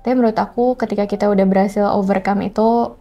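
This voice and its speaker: A woman's voice: mid-range voice, fairly even intonation, quick pace, dark tone, faint music behind. A woman chats casually and expressively as an interview guest, mixing in English phrases. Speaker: singer-songwriter guest.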